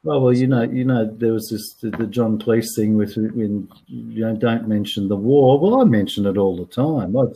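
A man's voice: fairly low pitch, normal speed, creaky, hardly any pauses, dark tone, breathy edge, low-quality recording. A man in his sixties with an Australian accent, chatting frankly as an interview guest and often repeating words as he thinks. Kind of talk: casual interview chat.

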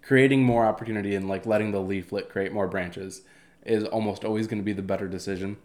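A man's voice: on the low side, steady tone, fast, a little mellow. A man talks in a podcast conversation. He steers the talk with curious, prompting questions and sums up what he hears.

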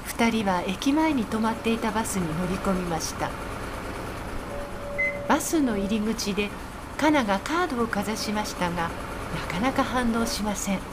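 A woman's voice: mid-range voice, expressive, moderate pace. A woman reading scripted narration as the narrator of a radio drama. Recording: clear background noise and ambience.

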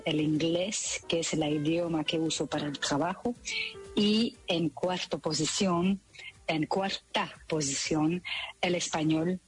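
A woman from Belgium, speaking carefully as a guest choreographer on a radio program. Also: low-pitched. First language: French. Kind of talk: radio interview.

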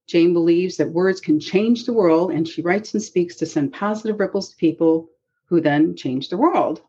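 A woman hosting a faith podcast, reading a measured introduction and then asking casual questions. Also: fairly low pitch, warm tone, breathy edge, lo-fi sound. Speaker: podcast host. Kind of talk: scripted introduction and interview questions.

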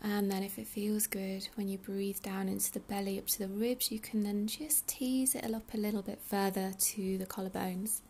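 Soft woman guiding meditation, slightly gravelly. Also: medium pitch, steady tone, slight background noise. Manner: soothing, unhurried, breathy soft delivery with pauses. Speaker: meditation guide, yoga teacher. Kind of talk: guided meditation.

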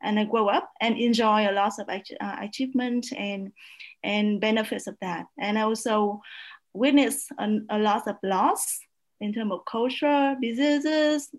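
A woman from Vietnam with a Vietnamese accent, a non-native English speaker, telling her life story earnestly as a guest, with hesitant "uh" pauses. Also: medium pitch. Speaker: PhD student guest. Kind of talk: personal storytelling in interview.

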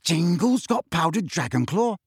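Man doing an eager, whiny teen boy, lively and raised. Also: a little high, unhurried, dry recording, clean studio sound. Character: eager excitable teenage schoolboy.

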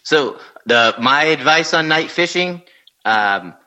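A man's voice: a little high, lively intonation, slow, pushed and bright. A man, co-hosting a casual podcast and telling fishing stories in a laid-back drawl, laughing and swearing as he goes. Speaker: podcast co-host, former fishing guide. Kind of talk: casual chat and storytelling.

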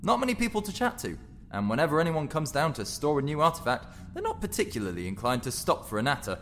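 A man's voice: on the high side, huge pitch swings, fast talker, fairly bright tone, exaggerated voice. A man playing a sweet, guileless, chatty ghost in an audio drama, speaking politely and mildly. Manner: polite, mild, old-fashioned chattiness. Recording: long hall reverb, faint noise.

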